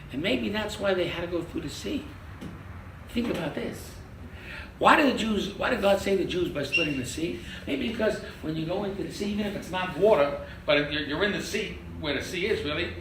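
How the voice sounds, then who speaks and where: An older man teaching a lively interactive Torah class as a rabbi, quizzing his audience and joking. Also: fairly high pitch. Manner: animated, quizzes the audience, jokes. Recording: echoey room from far off with noise.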